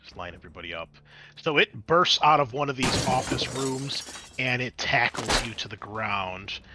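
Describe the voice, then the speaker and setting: A man's voice: mid-range voice, lively intonation, moderate pace, over music. A man running a tabletop roleplaying game as game master, switching between conversational rules talk and dramatic scene description.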